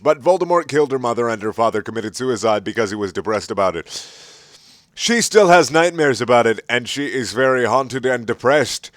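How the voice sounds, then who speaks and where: A man reads fan fiction aloud in a theatrical, mocking way. His voice is mid-pitched and sounds dry, with no room around it. He comes across as exasperated with the material. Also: fairly bright tone, no room sound. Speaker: reader of a fan fiction story. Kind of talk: mocking dramatic reading of amateur fiction.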